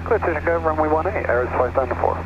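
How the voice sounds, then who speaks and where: A man's voice: fairly high pitch, fast. A man making a pilot's radio calls to the tower over aircraft radio. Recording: muffled radio, loud engine noise.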